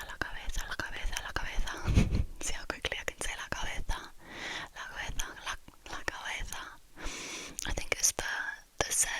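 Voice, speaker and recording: A young woman whispering into a close microphone for an ASMR video, softly repeating trigger words again and again and chatting with small giggles between them. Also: rough recording. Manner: soft, slow whisper that repeats words many times. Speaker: ASMR creator.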